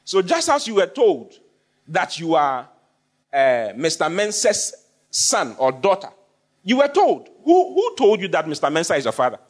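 A man's voice: high voice, wildly swooping pitch, normal speed, husky. A man preaching a sermon, repeating phrases for emphasis and questioning his congregation. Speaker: pastor.

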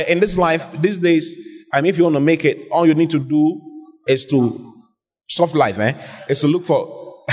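A man preaching a lively sermon to a congregation, calling out questions and drawing answers back from them. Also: fairly high pitch. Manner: animated, call-and-response with the congregation. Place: church hall. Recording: husky voice in a reverberant hall.

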